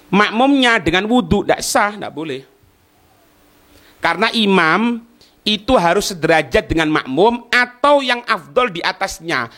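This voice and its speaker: Man, sharp forceful tone, highly varied pitch, didactic Islamic lesson, repetitive explanatory phrasing. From East Java, Indonesia. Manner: didactic, repeats phrases, asks rhetorical questions. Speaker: Islamic religious teacher. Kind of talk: religious lesson explaining a text line by line.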